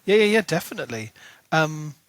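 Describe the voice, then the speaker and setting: A man's voice: on the high side, big pitch swings, a little fast, clean tone, no echo, low-quality recording. A man co-hosting a podcast, eagerly laying out theories about a book in casual chat, with lots of "you know" and "like". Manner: enthusiastic, theorizing, many fillers. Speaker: podcast co-host.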